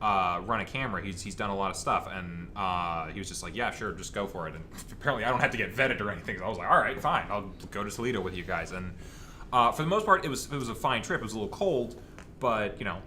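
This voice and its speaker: A man chatting casually and telling a rambling anecdote in a halting way, often cutting himself off. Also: fairly low pitch, expressive, sharp tone, slight background noise. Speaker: panelist on a fan Q&A show.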